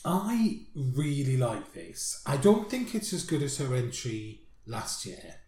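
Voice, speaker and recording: A man's voice: mid-range voice, big pitch swings, takes it slowly, a little mellow. Chatty male co-host, mid-range voice, rambling reviewer, roomy echo. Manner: chatty, laughs often, rambling asides. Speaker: podcast co-host. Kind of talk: casual music review chat.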